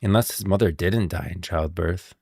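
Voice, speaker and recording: A man's voice: deep voice, normal speed, gravelly, darkish tone, dry recording, clean studio sound. A man giving casual live stream commentary on game lore, musing and thinking aloud.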